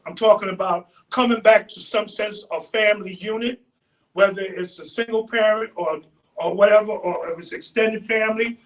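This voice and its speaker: A man, speaking as a panelist in a loud, preacherly way and repeating phrases for emphasis, picked up from a distance. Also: high voice, fairly even intonation, normal speed. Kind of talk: impassioned community panel speech.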